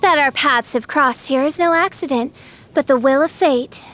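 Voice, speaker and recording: High-pitched, pompous gothic princess. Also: female voice, normal speed, fairly bright tone, faint music behind. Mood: solemn, dramatic. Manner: grandiose, pompous archaic speech. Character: theatrical teenage girl styling herself a dark princess. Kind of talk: scripted video game character acting.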